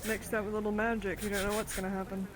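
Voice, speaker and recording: A woman's voice: mid-range voice, level tone, racing, noisy background, close to an everyday voice. A woman playing a bold, vengeful female adventurer in improvised fantasy roleplay, talking in casual slang with some swearing. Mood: defiant, confident.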